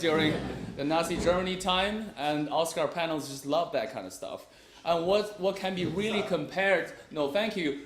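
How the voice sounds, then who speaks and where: A man's voice: on the high side, a little hiss or hum. A young man giving a competitive debate speech, arguing his case with frequent hesitations and fillers. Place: university debate room. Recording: echoey room.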